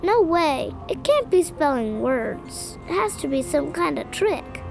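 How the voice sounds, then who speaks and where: A woman's voice: sits high, very animated, measured pace, gravelly, faint music behind, full character voice. A woman playing a skeptical, protective young boy in an audio drama, blunt and incredulous in manner. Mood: nervous disbelief.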